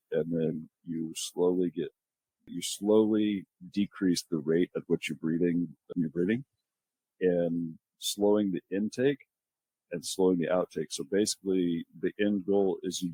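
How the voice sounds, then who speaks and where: A man co-hosting a nursing podcast, a registered nurse who talks in a casual, halting way and restarts his sentences as he explains. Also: low-pitched, warm tone, breathy edge, rough recording.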